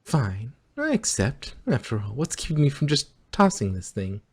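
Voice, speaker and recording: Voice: mid-range voice, sing-song, normal speed, darkish tone, husky. A performer reading the part of a weary, skeptical young pony princess in a dry, exasperated manner. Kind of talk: character voice in a fanfiction reading.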